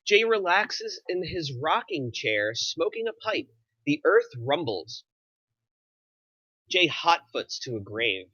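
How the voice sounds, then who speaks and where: A man's voice: medium pitch, pushed and bright, dry recording, lo-fi sound. A man narrating the stage directions of a screenplay at a table read, in scripted present-tense style.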